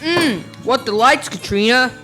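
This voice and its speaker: Female player as nervous vain schoolmaster, very expressive. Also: sits high, unhurried, music in the background. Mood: boastful, smitten. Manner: florid old-fashioned speech. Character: nervous, vain, bookish colonial schoolmaster man. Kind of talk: school radio play acting.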